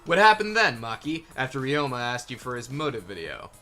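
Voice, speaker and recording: A man's voice: medium pitch, very animated, brisk, pushed and bright. A man in an amateur game fan dub playing an earnest young detective who reasons aloud with some hesitation. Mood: earnest, probing. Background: background music.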